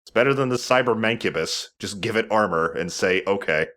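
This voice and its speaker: Male gaming host, conversational and agreeable, lowish pitch with a bright edge, commentating casually. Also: brisk. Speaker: let's play host.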